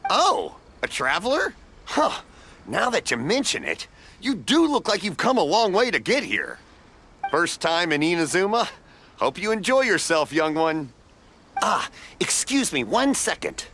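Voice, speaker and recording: Hearty game stallkeeper, middle-aged, folksy, bright forceful voice, very animated pitch. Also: male voice, measured pace, a little hiss or hum, exaggerated voice. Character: friendly, generous older festival stallkeeper, man. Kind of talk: video game voice acting.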